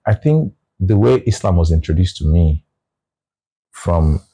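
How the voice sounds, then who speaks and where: A Nigerian man talking through his views in a relaxed conversation, often restarting his phrases. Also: deep voice, measured pace, slightly rough. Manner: reflective, restarts phrases, explains with examples. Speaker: guest. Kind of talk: casual conversation.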